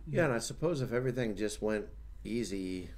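A man's voice: fairly low pitch, brisk. A man hosting a casual talk show, chatting and commenting in a panel discussion from a studio. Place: studio with foam acoustic panels.

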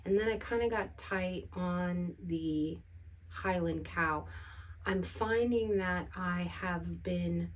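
Slow, low-voiced woman vlogging casually. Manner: relaxed, rambling self-talk. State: groggy morning voice. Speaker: artist vlogger. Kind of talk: casual studio vlog. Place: small home art studio, indoors.

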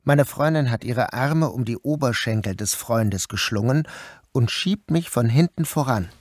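A man's voice: medium pitch, expressive, moderate pace, dry recording, clean studio sound. A man narrating an audio drama in the first person, as its protagonist. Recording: noticeable background noise.

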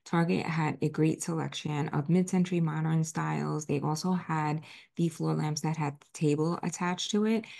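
A woman's voice: low voice, gravelly, a little mellow. A woman in her forties hosting a home decor video, talking through products in a conversational, explanatory way. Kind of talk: product review voice-over, casual presenting.

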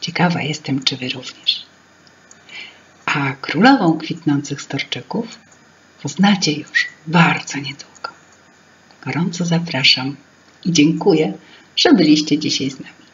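A woman in her fifties reading a fairy tale to children, expressive and lingering, with whispered asides. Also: deep voice, unhurried, long pauses, a little hiss or hum. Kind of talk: storybook narration for children. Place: small room at home with paintings. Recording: dull lo-fi home recording.

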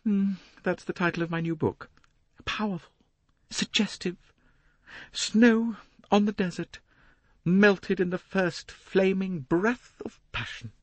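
A man voicing a pompous, gushing older woman novelist in a high, complaining voice. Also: slow, long pauses, dry recording. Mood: grandiose. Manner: theatrical, self-important, emphatic. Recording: dry, close audiobook recording.

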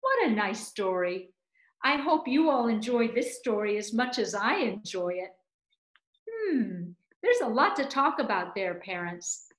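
Fifties lady storyteller for little kids, super expressive n slow, bit echoey at home. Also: a little high. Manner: animated, dramatic, emphatic phrasing. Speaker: storyteller and presenter. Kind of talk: expressive storytelling for young children. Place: room at home. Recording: roomy indoor echo.

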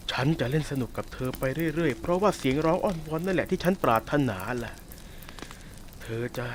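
A man voicing a sadistic, possessive werewolf who taunts a captive listener in a roleplay audio drama. He speaks softly and teasingly, with a menacing edge. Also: fairly high pitch, animated, brisk, a little hiss or hum, close to an everyday voice.

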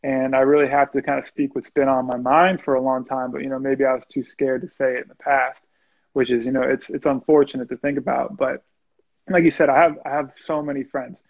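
A man's voice: mid-range voice, flat delivery, brisk, vocal fry, highs cut off. A young man, a musician guest, speaking earnestly and reflectively in a casual video-call interview. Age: young adult. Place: small room indoors. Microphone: video call, earbuds.

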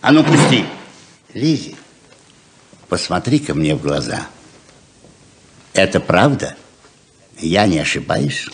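A man's voice: low-pitched, sing-song, slow, long pauses, a little hiss or hum, heavily acted. An actor playing a stubborn, loving middle-aged father in a 1970s Soviet radio play, emphatic and persuasive, moving between tenderness and insistence. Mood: gently probing. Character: coaxing, stubborn, loving father of grown children. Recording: roomy 1970s radio drama recording.

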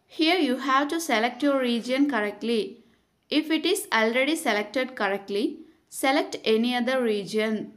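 A woman reading scripted step-by-step tutorial narration in a measured, instructional way. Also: fairly high pitch.

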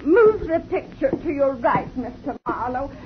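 Distraught young woman, tearful, high voice, hissy dull old radio sound. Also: very animated, a little slow. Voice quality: tearful, pleading. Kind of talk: 1950s radio drama acting.

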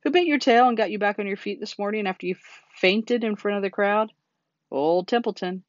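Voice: mid-range voice, brisk, no room sound, pitched above the performer's own voice, lightly acted. A sarcastic male rat from a children's storybook, acted out by a narrator, full of self-pity and grievance. He mocks and grumbles in exaggerated complaint. The recording is close and dry, a little dull. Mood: boastful complaint. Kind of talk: children's storybook character reading.

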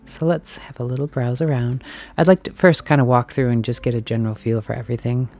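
A woman filming herself as she browses a large antique and thrift shop, chatting casually and musing aloud, sometimes dropping to a soft whisper. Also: extremely low pitch, fairly even intonation, fast. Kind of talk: casual walk-and-talk commentary. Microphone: handheld camera mic, filming as she walks.

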